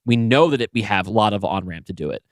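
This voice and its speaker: A man's voice: lowish voice, animated, quick pace, no echo, studio quality. A man co-hosting a podcast in casual conversation, speaking in an emphatic, enthusiastic way. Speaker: podcast co-host and writer.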